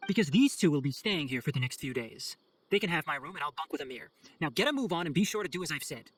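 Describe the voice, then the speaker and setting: Young head ranger, quick lively talker, dry and exacting, game cutscene. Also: male voice, on the high side, slight background noise. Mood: decisive. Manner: articulate, dryly sarcastic, instructive. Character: brisk, clever, exacting young male chief forest ranger. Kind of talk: video game cutscene acting. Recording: faint music behind the voice.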